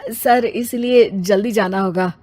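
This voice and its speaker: A woman's voice: mid-range voice, a little slow, darkish tone, natural voice. A woman voicing an irritable, tired office-worker father in a cartoon family story, grumbling and exasperated. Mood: pleading.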